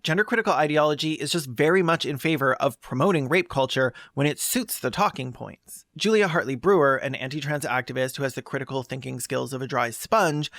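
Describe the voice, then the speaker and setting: A man's voice: on the high side, a bit bright, no room sound, clean studio sound. A man delivering scripted, opinionated commentary as a video essayist, with a sarcastic, scornful manner.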